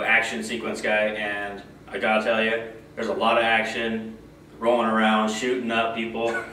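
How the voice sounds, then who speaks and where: A young man as guest reviewer, easygoing and rambling, hurrying when excited. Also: on the low side. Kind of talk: casual review chat. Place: small indoor studio with a poster backdrop. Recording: echoey room, mic far off, faint hiss.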